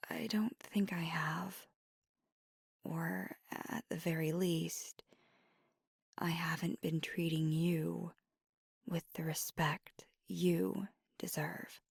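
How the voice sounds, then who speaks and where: A woman playing a nervous, tender vampire girlfriend in an intimate ASMR roleplay, whispering breathily and haltingly with earnest devotion. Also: on the low side, creaky, husky, no echo, clean studio sound, full character voice. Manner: hesitant, halting, earnest delivery.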